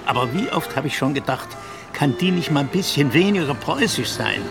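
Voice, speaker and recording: A man's voice: fairly high pitch, expressive, brisk, creaky. A man, chatting personally as an interviewee in the street. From Leipzig, Saxony, Germany. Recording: music and outdoor traffic behind.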